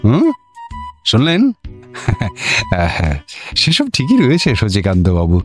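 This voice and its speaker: An actor playing a vain, self-important government officer in a radio comedy, humming and repeating himself pompously. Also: male voice, fairly low pitch, wildly swooping pitch, soft background music, full character voice. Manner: pompous, drawn-out hums and repeated agreement. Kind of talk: Bengali radio comedy drama acting.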